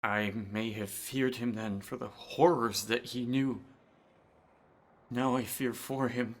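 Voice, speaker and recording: Horror radio play: timid scholar, formal and nervy, creaky mid-range voice. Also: male voice, medium pitch, fairly even intonation, takes it slowly. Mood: haunted. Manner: nervous, formal old-fashioned speech. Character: Carter, timid anxious scholar haunted by a graveyard horror. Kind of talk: horror radio drama acting.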